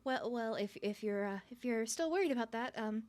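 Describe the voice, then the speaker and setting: A woman's voice: on the high side, lively intonation, fairly quick, darkish tone, lightly acted. A woman playing a nervous, smitten young woman on a first date in an ASMR roleplay, stuttering and rambling with flustered giggles. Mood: amused, nervous.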